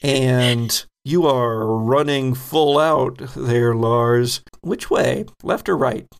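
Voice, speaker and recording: Male game master, creaky mid-pitched voice, descriptive improvised horror narration at the table. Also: very slow.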